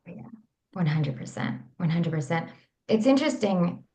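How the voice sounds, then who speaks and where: Soft, low-pitched woman telling a candid story. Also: lively intonation, moderate pace. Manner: soft, reflective, with frequent restarts. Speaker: guest, women's coach.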